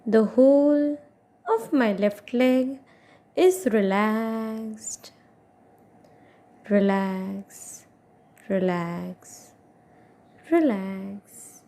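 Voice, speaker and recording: A woman guiding a meditation as an instructor, giving soothing instructions in short phrases with long pauses between them. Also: mid-range voice, warm tone. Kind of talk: guided meditation, yoga nidra narration.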